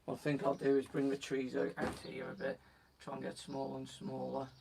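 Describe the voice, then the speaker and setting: Croaky man talking through a painting. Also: mid-range voice, a little fast. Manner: relaxed, talks while working, frequent "so" and "just gonna". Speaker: painting instructor on a live stream. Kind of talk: casual step-by-step demonstration. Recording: voice from a distance from the mic, faint background noise.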